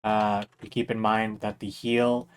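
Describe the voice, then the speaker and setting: A young man with a slightly hoarse voice leads a drawing lesson on a live stream. He talks casually and rambles, filling pauses with ums and small laughs as he explains. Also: on the low side, level tone. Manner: chatty, rambling, with many fillers and laughs. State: a little hoarse. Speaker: art instructor streaming a drawing workshop.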